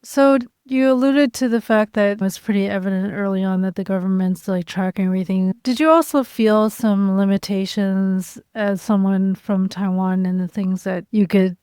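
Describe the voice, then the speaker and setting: A woman hosting an interview podcast, asking questions in a soft, measured way and murmuring encouragement. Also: mid-range voice, clean tone, no echo, studio quality. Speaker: podcast host.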